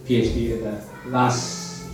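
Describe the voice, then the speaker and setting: A man from Malaysia in his forties, a surgeon telling his life story to a church youth group in a relaxed, plain way with many "um"s. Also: medium pitch, unhurried. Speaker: surgeon giving a testimony. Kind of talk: personal testimony talk to youth. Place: church hall. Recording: very echoey hall, voice from far off, faint music.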